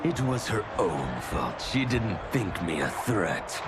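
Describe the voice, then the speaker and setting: A man's voice: fairly low pitch, very animated, takes it slowly, very noisy. An actor playing a theatrical, mocking tyrant of chaos in a video game, grandly addressing his arena like a showman. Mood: smug gloating. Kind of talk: video game villain acting. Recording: loud music and crowd behind. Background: loud music, roaring arena crowd.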